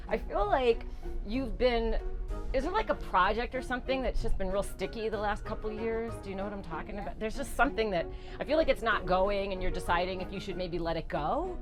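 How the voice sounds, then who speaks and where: A woman speaking as a psychic reader on an unscripted TV show. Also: medium pitch. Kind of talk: unscripted psychic reading and interview.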